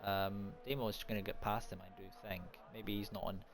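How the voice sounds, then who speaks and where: A man with a naturally quiet voice giving live, unscripted sim racing commentary, mixed with casual chat. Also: on the low side, little pitch movement, moderate pace. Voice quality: soft-spoken, naturally quiet voice. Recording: noisy home stream microphone.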